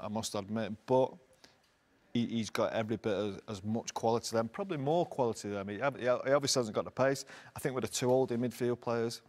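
A man in his forties with a somewhat low voice gives football analysis from a studio panel. He is halting, often repeating words while he gathers his thoughts. He is recorded on a lapel mic in a television studio. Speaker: studio pundit. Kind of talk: post-match football analysis.

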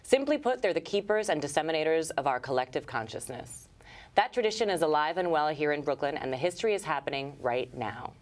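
Low-voiced woman in her 30s co-hosting a tv show, measured and clear. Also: animated. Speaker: TV co-host. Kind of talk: scripted segment intro and interviewing. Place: TV studio, indoors.

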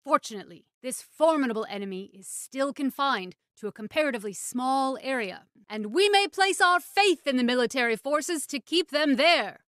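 Solemn lady gov official acted by a woman, radio play speech, high voice, deliberate oratory, very dry sound. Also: a bit bright, no room sound. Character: solemn female government secretary addressing the nation. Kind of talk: formal radio address in a drama.

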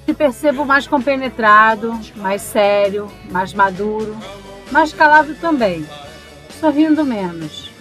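A woman plays a devoted girlfriend who keeps gently probing, in an audio drama. Her voice is fairly high, with some music underneath. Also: expressive, unhurried. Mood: tender, probing.

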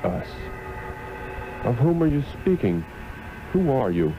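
A man's voice: medium pitch, big pitch swings, slow pace, noisy background. An actor playing an earnest, troubled master magician in a 1960s radio drama, formal and brooding in his delivery. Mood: resolute questioning. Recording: muffled old radio recording with music.

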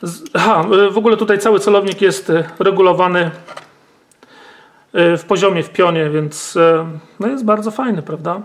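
High-voiced man explaining gun parts. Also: normal speed. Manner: explanatory, frequent fillers and tag questions. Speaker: gun channel host. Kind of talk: hands-on firearm demonstration.

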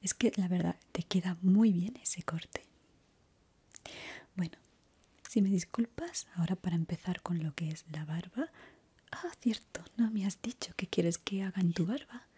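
Young spanish woman from spain doing asmr barber roleplay, super breathy whisper right up on the mic, calm and reassuring. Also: on the low side. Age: young adult. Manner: soothing, unhurried, reassuring. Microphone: close microphone.

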